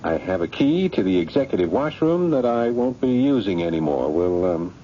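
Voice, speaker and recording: Low-voiced, wary skeptic. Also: male voice, very animated, moderate pace. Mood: skeptical, wry. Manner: hesitant, skeptical, plain-spoken. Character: wary, rusty chess player who just lost his job. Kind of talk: radio mystery drama acting. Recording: dull, noisy 1970s radio drama recording.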